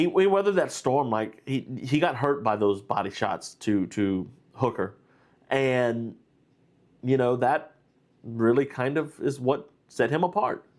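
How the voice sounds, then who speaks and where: A man with a stuffy, nasal voice from being under the weather, hosting a casual live stream. He rambles through his fight breakdown, circling back with fillers and false starts. His pitch sits at a middling level. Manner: rambling, with many fillers and restarts. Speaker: host.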